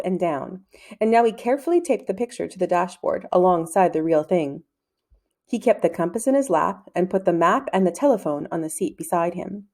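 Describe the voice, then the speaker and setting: A woman reading a children's novel aloud as a storyteller, in measured, clearly phrased narration. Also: medium pitch, a little mellow.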